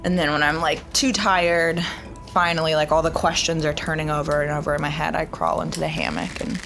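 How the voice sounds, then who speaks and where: Young woman, low pitch, gravelly, reflective, lots of fillers, tabletop player. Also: little pitch movement, fairly quick, a bit bright, soft background music. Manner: reflective, with frequent "like" and "um". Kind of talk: tabletop roleplay, describing her character.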